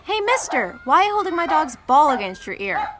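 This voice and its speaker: High-voiced, frank young girl. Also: very animated, a little fast, very noisy. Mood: matter-of-fact. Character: plain-spoken young girl with a pet dog. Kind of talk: scripted audio drama acting.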